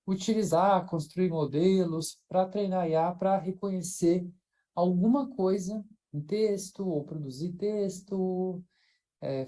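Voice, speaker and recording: Guy talking on a video call webinar, soft mellow tone, lots of ums and restarts. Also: on the high side. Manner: thinks aloud with many fillers and restarts. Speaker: researcher and invited speaker. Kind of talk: informal academic talk in a live webinar.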